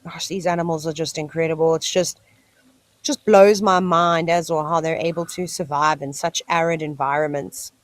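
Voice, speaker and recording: A woman's voice: low voice, fairly even intonation, normal speed, dry recording. Woman, South African accent, live wildlife commentary, enthusiastic and chatty, insect noise in background. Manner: chatty, enthusiastic, talks to the animals. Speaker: wildlife livestream host. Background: cicadas screeching.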